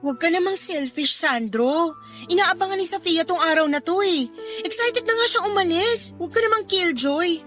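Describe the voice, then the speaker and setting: Casting: young Filipino mother, high voice, casual, bossy and pleading by turns, radio drama over soft music. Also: lively intonation, moderate pace. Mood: pleading, annoyed. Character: exasperated young mother, brisk, bargaining with her ex. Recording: music under the voice.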